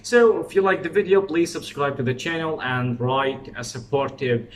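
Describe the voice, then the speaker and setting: A man's voice: fairly high pitch, normal speed, slight background noise. Hesitant Arabic-accented teenage boy. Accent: Iraqi Arabic. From Iraq. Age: teens. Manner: hesitant, many filler uh's, non-native phrasing. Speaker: vlogger. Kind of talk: explainer vlog.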